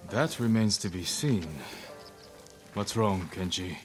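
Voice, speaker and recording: An actor playing a stern, honorable samurai lord in a video game cutscene, terse, grave and weary. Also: male voice, fairly low pitch, lively intonation, slow pace. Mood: reproving. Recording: music behind the voice.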